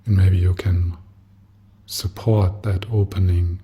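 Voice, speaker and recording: A man leading a guided meditation as a teacher, speaking gently and softly in an unhurried manner with long pauses. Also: sits low, flat delivery, husky.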